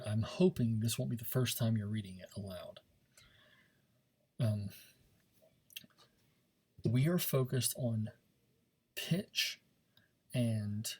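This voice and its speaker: A man's voice: mid-range voice, a little mellow, breathy edge. A man teaching a speech class in an informal recorded lesson, talking directly to his students and stressing and repeating key points. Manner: emphatic, demonstrative, stresses and repeats key words.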